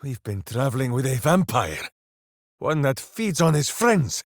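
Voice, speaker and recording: An actor playing a big-hearted, boisterous, simple-minded heroic ranger in a video game, declaiming theatrically. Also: male voice, medium pitch, big pitch swings, unhurried, dry recording, studio quality. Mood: earnest, pondering. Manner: theatrical, declaiming, speaks of himself in third person. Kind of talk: video game character voice lines.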